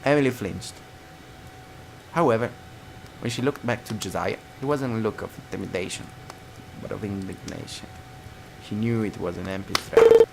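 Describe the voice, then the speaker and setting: A man's voice: on the low side, wildly swooping pitch, normal speed, gravelly, heavy background noise. A man reading a visual novel aloud as a let's player, narrating dramatically and dropping into casual asides. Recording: guitar music behind.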